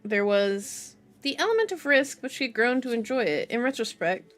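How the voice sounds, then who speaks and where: A woman's voice: a little high, sing-song, normal speed, a bit bright, faint music behind. A woman reading a novel aloud in an ASMR style, with informal, giggly asides, as a streamer. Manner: giggly, self-interrupting, informal.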